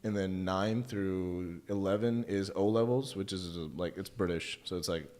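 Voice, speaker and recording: A man's voice: low-pitched, normal speed, darkish tone. A young man from Pakistan, an Urdu speaker, talking casually and jokingly as a podcast guest. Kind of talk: casual conversational interview.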